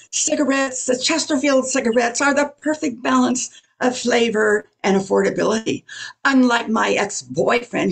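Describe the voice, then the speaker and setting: A woman playing a scornful, satisfied customer in an old-time radio commercial, her voice husky and creaky. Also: on the high side, heavily acted, poor audio.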